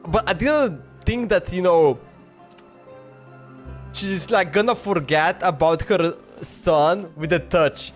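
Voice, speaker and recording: A man's voice: high voice, slow pace. A man reacting live to a video game as a streamer, chatting casually and bluntly. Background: faint game music.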